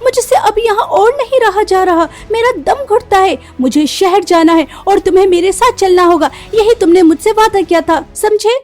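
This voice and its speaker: A snobbish young city wife from a cartoon family tale, acted out by a woman. Her voice is very high yet mellow, and she talks in an insistent, complaining way, looking down on village life. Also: normal speed, few pauses. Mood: haughty complaining. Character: haughty modern city-bred daughter-in-law, young woman. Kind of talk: Hindi cartoon story voice acting.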